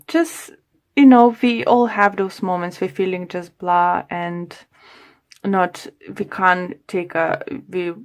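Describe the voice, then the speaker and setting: A woman reading tarot cards aloud in unhurried, deliberate English, pausing often to reach for words in what is not her first language. Her voice is medium-pitched and slightly worn from a day of readings. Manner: halting, searching for English words. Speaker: tarot reader.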